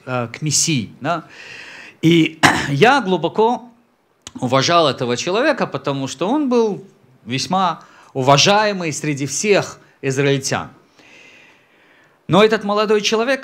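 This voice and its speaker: Man, 30s, native Tajik speaker, slightly high voice, handheld mic, church stage, hesitant unhurried testimony. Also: a bit bright. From Samarkand, Central Asia. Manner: unhurried, frequent hesitations and throat clearing. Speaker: messianic rabbi, guest speaker. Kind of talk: personal testimony to a congregation. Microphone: handheld microphone.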